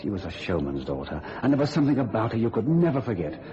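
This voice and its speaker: An actor playing an earnest, worried stagehand in a radio thriller, speaking in a hesitant manner. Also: male voice, lowish voice, big pitch swings, quick pace, a crowd in the background. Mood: wistful. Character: earnest, worried stagehand who builds magic tricks, lovesick. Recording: muffled radio recording, heavy hiss.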